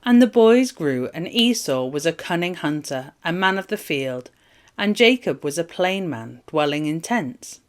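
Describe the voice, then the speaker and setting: Low-pitched woman reading scripture aloud. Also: expressive, measured pace. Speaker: Bible reader. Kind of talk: scripture reading, formal narration.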